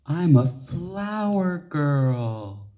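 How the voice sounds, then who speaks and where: A man's voice: a little high, big pitch swings, drawn-out words, dark tone, voice pushed up, exaggerated voice. A man voicing an eager, determined little schoolgirl in a storybook, earnest and insistent. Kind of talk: storybook character dialogue.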